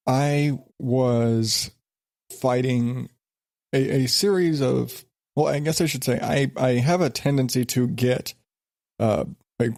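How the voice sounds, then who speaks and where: A man's voice: mid-range voice, creaky, darkish tone, no echo, studio quality. A man hosting his own podcast, stuffed up from a sinus infection and talking in an exasperated, rambling way with many restarts. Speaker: podcast host. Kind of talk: casual solo podcast.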